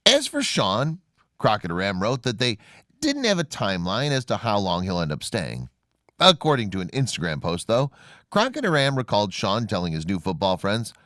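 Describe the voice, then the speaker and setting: A man's voice: lowish voice, fairly bright tone, no echo, clean studio sound. A man narrating a scripted human-interest story with a polished, dramatic storytelling delivery.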